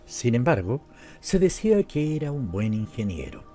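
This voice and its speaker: A Latin American man narrates literary fiction in Spanish-accented storytelling form. His voice is mid-pitched, soft-edged and mellow. Music plays behind his reading. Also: wildly swooping pitch, normal speed. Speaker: audiobook narrator. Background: background music.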